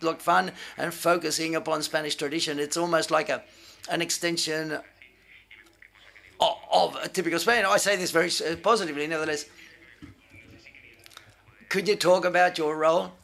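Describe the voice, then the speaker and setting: Man, live interpreter, raised pitch, frequent vocal fry, halting piecemeal phrasing. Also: a bit bright.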